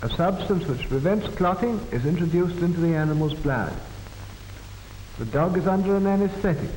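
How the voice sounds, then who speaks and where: A man reading formal, measured, solemn narration for a 1940s scientific documentary, on an old film soundtrack. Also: fairly high pitch, expressive, a little mellow, reverberant, long reverb tail, slight background noise, low-quality recording.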